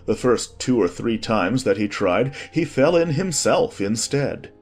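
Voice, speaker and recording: A man's voice: medium pitch, lively intonation, a little slow, breathy edge, faint music behind. A man reading scripted storybook narration as the narrator of an audiobook.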